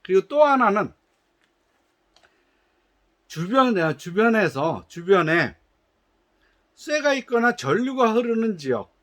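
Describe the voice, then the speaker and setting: High-voiced man explaining a product test. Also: very animated, slow pace, pauses often, fairly bright tone. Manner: patient, explanatory, with frequent hesitations and restarts; gives instructions in polite formal speech. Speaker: shoe company representative.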